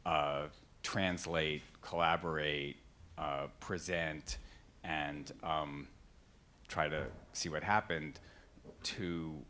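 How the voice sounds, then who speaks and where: A man moderating a panel discussion, thinking aloud in a halting way as he poses a question. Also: very deep voice, fairly even intonation, bright, forceful tone. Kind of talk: panel discussion at grand rounds.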